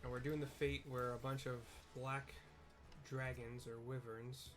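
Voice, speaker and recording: A man's voice: medium pitch, level tone, measured pace. Casual man narrating gameplay over music. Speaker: gameplay streamer narrating a game. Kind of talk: casual rambling play-by-play.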